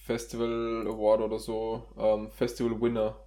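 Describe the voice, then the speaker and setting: A man's voice: lowish voice, monotone, moderate pace, mellow. A man chatting casually as a co-host, informal and rephrasing his thoughts as he goes. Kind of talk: casual conversation.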